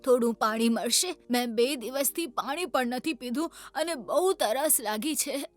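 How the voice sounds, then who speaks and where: A woman's voice: high voice, unhurried, soft background music. A woman playing a frail, pleading old beggar woman in a children's cartoon story. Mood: weak, pleading.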